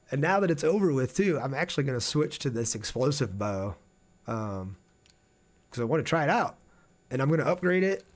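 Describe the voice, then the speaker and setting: A man giving casual live gameplay commentary as a game streamer, talking to his viewers as he plays. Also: a little high.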